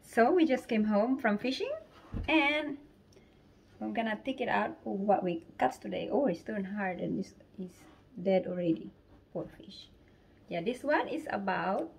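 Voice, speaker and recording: A woman's voice: medium pitch, gravelly, husky. A woman in her thirties with a Filipino accent, vlogging casually on a phone camera and exclaiming often. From Philippines. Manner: excitable, exclaiming and laughing often. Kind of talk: casual vlog narration. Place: beach outdoors, later home kitchen.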